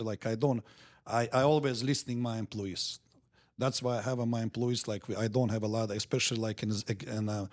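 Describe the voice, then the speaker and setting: A middle-aged man from Montenegro with a Montenegrin accent, talking as a guest in an interview in halting, repetitive, simple phrases. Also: mid-range voice. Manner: halting, repeats words, simple grammar. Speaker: guest entrepreneur. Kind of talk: podcast interview.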